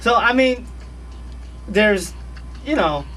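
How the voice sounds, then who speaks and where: Brief: high-pitched man, radio guest, slangy and repetitive, a little off-mic. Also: slow pace, far from the mic, soft background music. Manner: laid-back, animated, slangy, repeats phrases. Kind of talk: casual radio interview chat. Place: radio studio.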